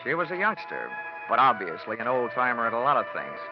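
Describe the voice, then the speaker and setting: An actor playing a wisecracking, hardboiled private investigator in a classic radio detective play, with a wry, clipped, slangy delivery. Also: male voice, mid-range voice, wildly swooping pitch, a little fast, music in the background. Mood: tense narrating. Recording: muffled vintage radio recording.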